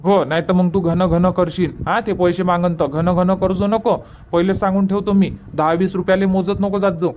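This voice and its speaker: कार्टूनमधल्या मायाळू, पुन्हा पुन्हा समजूत काढणाऱ्या मामाला वैदर्भीय मराठीत आवाज देणारा पुरुष. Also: male voice, high-pitched, little pitch movement, moderate pace, darkish tone, slight background noise, lightly acted. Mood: nagging. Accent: Vidarbha Marathi. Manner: repetitive, reassuring. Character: kindly village uncle, soothing and grumbling about stingy granny. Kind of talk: cartoon comedy dubbing.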